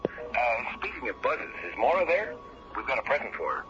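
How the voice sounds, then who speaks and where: An actor playing a brisk, clipped space pilot in a science fiction radio drama, heard through a radio filter. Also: male voice, medium pitch, big pitch swings, noisy background. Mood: brisk, friendly. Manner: clipped military radio jargon. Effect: radio transmission filter. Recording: dull radio sound under loud music.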